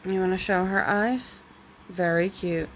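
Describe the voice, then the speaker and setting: A woman's voice: fairly low pitch, unhurried, slight background noise, poor audio. A woman reading a storybook aloud expressively to a young child, as a parent does at bedtime.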